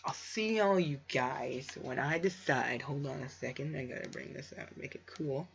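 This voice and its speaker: A man's voice: mid-range voice, normal speed, gravelly, fairly bright tone. A man reading a story aloud casually for a video, stumbling over words and breaking off into offhand asides. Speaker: amateur fan fiction reader and video creator. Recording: dull lo-fi home recording.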